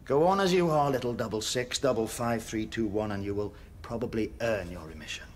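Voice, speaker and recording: An actor playing a zealous, pompous prison chaplain in a radio drama, with a declamatory way of preaching. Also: male voice, lowish voice, a little fast, slight background noise, natural voice. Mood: grave, hesitant. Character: fire-and-brimstone prison chaplain, zealous, pompous, troubled by doubt.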